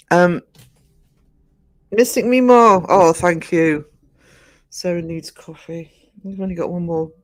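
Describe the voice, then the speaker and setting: A man, croaky from just waking up, rambling chattily to his live-stream viewers from home and greeting them by name. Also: on the high side. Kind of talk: casual live-stream chat. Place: indoors at home. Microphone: desk microphone.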